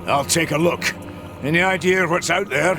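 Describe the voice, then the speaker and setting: A man voicing a gruff, stubborn, oath-bound male dwarf warrior in a video game cutscene, blunt and curt. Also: fairly high pitch, moderate pace, music in the background, close to an everyday voice. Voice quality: gravelly.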